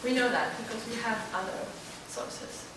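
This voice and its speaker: A woman with a Moroccan Arabic accent and a fairly high voice gives an informal personal talk. She hesitates and searches for words. The recording is very reverberant and picked up from a distance, in a library event room with clear noise. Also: lively intonation, normal speed. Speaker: student panelist.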